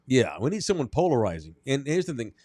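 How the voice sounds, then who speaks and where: A man's voice: mid-range voice, big pitch swings, fast, darkish tone, no room sound, clean studio sound. A man from Atlantic City co-hosting a sports podcast, talking emphatically and cursing freely. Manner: emphatic, profane, repeats lines for effect. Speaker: sports talk podcast co-host. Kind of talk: casual sports chat.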